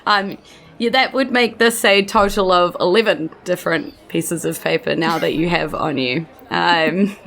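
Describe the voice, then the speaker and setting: A woman's voice: on the low side, measured pace, vocal fry, fairly bright tone. A woman casually narrating as a dungeon master for a tabletop game. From New Zealand.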